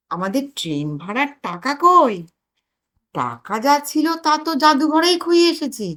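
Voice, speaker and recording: A woman's voice: sits high, fairly bright tone, heavily acted. A woman voicing a blustering, scheming older family man in a comic audio story, exclaiming and sure of himself. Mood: flustered.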